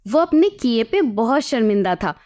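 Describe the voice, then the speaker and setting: A woman's voice: fairly high pitch, sing-song, moderate pace, a little mellow. A woman reading a scripted moral tale as its storyteller.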